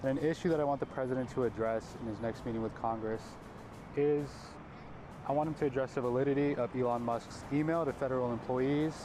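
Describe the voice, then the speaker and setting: A man's voice: medium pitch, fairly even intonation, fairly quick, background noise. A young male university student from Los Angeles gives an earnest recorded comment, with a few ums. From Los Angeles, California. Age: young adult. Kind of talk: recorded vox-pop comment.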